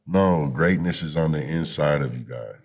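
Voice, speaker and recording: A man's voice: very deep voice, moderate pace. A man speaking as a podcast guest and youth activist in a conversational, motivational way, encouraging and often saying "you know".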